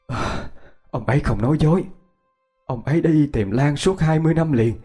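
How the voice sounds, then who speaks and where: A man's voice: a little high, normal speed, mellow, breathy edge, soft background music, voice pushed up. A man voicing an older wife who pleads earnestly and insistently in a dramatic story. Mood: earnest pleading.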